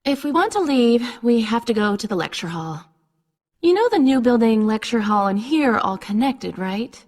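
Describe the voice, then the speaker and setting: A woman's voice: fairly high pitch, very animated, normal speed, darkish tone. A woman playing a teasing, chatty teenage schoolgirl in a video game. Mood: matter-of-fact.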